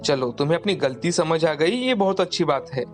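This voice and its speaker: A man voicing a kind, forgiving adult pulao seller in a cartoon, speaking gently and reassuringly. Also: a little high, fairly quick, faint music behind, natural voice. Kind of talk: cartoon voice acting.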